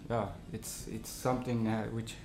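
Male musician, halting interview answers, echoey room sound. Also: lowish voice, little pitch movement, a little mellow, slight background noise. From Switzerland. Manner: halting, searching for words. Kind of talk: casual interview.

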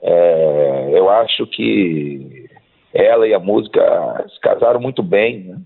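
A man's voice: deep voice, slow, creaky. A man in his fifties, a songwriter and author, answering interview questions in a hesitant, casual way, often restarting and tagging lines with a question. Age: 50s. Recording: tinny phone line.

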